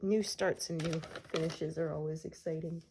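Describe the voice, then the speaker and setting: A middle-aged woman chatting casually as she works on a craft, relaxed and meandering with plenty of fillers, her voice a little congested from a cold. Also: deep voice, normal speed, background noise. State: recovering from a cold, slightly congested. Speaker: cross-stitch vlogger. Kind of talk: casual chat while stitching.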